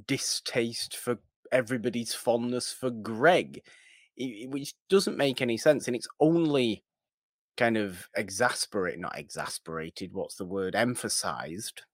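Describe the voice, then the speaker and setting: A man's voice: mid-range voice, slow pace, a bit bright, no echo, clean studio sound. A man co-hosting a casual film discussion, rambling a little and searching for words as he goes. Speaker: podcast co-host discussing films.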